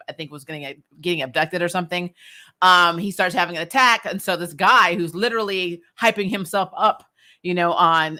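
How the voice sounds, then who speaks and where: A woman giving a casual, slangy episode review as a host, animated and opinionated in her delivery. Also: fairly low pitch. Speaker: host of a TV recap show.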